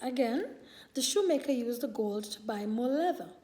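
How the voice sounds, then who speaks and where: Woman, high voice, mellow tone, unhurried children's read-aloud, expressive storyteller. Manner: deliberate, expressive delivery for young children. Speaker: storyteller reading a picture book aloud.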